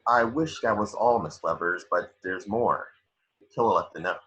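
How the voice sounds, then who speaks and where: Man reading as a low-voiced, grave police detective. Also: normal speed, a bit bright, dull audio, close to an everyday voice. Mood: grave, insistent. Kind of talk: amateur table reading of a film script. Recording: home recording.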